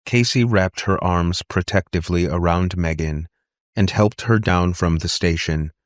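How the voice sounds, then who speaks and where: A man reading a scripted story as a dramatic audiobook narrator, deliberate and suspenseful. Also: low voice, dry recording, clean studio sound.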